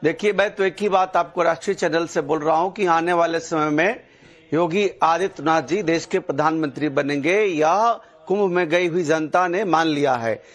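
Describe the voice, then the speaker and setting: A man's voice: on the high side, normal speed. A man giving his opinion as a guest commentator on a television news panel. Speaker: debate guest, religious scholar.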